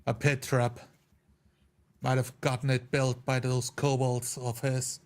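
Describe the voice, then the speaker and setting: A man's voice: mid-range voice, slow. A man playing a dwarf-like character in a tabletop roleplay, plainly describing his actions. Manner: flat, matter-of-fact action descriptions. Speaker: player.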